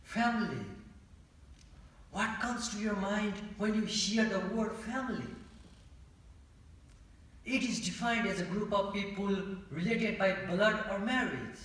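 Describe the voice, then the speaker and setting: A young man with a Nepali accent delivers a formal, rehearsed speech in a high-pitched voice. He speaks deliberately, with rhetorical pauses, heard from a distance in a very reverberant auditorium. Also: fairly bright tone. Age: young adult. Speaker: student speech contestant. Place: large indoor auditorium. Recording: echoey auditorium, distant from mic.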